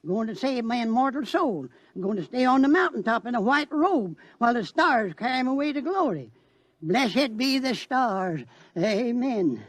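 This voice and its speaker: Swooping, rapturous cult believer. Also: male voice, very high voice, sing-song, moderate pace, a bit bright, dry recording, exaggerated voice. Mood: fervent, rapturous. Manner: preachy, stammering, exalted. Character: fervent male doomsday cult believer, simple and rapturous. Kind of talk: radio drama acting.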